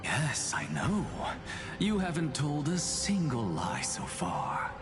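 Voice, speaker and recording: Wry, expressive scholar. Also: male voice, a little high, takes it slowly, full character voice. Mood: probing. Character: wry, sardonic male scholar, probing and theatrical. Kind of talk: scripted game voice acting. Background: game music.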